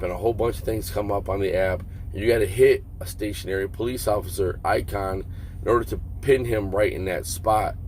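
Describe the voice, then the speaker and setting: A man with a very low voice talks through a casual product review. His delivery is plainspoken and rambling, with halting starts as he works out his points. A noticeable vehicle and fan hum runs behind him, as in a truck cab. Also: a little mellow, rough recording. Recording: clear vehicle and air-conditioning hum.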